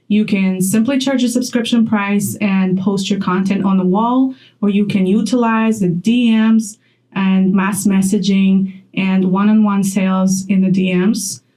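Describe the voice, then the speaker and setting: A woman's voice: mid-range voice, fairly even intonation, unhurried, dark tone. A young woman from Poland talking straight to camera in a chatty vlog, laughing often as she gives advice. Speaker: content creator.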